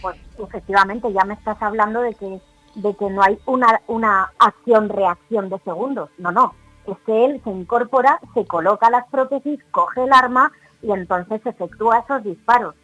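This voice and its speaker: A woman's voice: medium pitch, moderate pace, pushed and bright, no echo, soft background music, poor audio. A woman with an accent from Spain giving opinionated, conversational commentary as a radio panelist.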